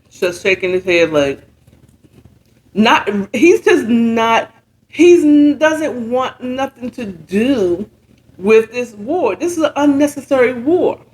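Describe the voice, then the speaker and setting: A woman informally retelling a story in a halting, conversational way, often checking in with her listeners. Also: mid-range voice. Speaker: storyteller retelling a book chapter.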